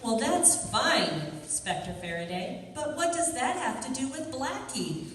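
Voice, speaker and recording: A very echoey, distant hall recording with faint noise holds a woman acting as a defiant young woman in an old-time radio detective play. Her voice is fairly high, and she talks with quippy, indignant sass. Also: sing-song, measured pace, a bit bright, heavily acted. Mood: breezy, wry. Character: sassy, defiant young woman, a suspect's loyal girlfriend. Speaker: amateur radio theatre actor. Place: live stage performance in a large room.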